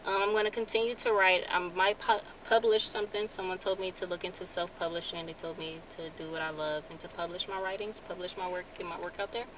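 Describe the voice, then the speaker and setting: A young woman vlogging from a parked car in a relaxed, casual way, with lots of ums. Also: lowish voice, quick pace, hardly any pauses. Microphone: phone camera on dashboard. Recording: car with clear background noise. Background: vehicle ambience.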